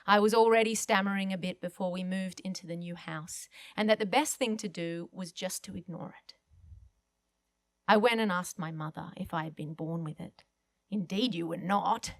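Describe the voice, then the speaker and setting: A woman's voice: medium pitch, a bit bright, no room sound. A woman reading a first-person literary short story aloud as a guest reader at an event.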